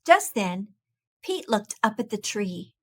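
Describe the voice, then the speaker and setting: A woman's voice: mid-range voice, very animated, slow pace, slightly rough. A woman reading a children's picture book aloud, expressively and with careful enunciation. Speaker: storybook reader.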